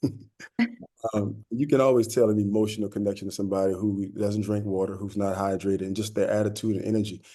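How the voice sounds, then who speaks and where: Mellow low-voiced man in fitness. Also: level tone, quick pace. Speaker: fitness professional. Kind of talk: virtual panel discussion. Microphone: video call.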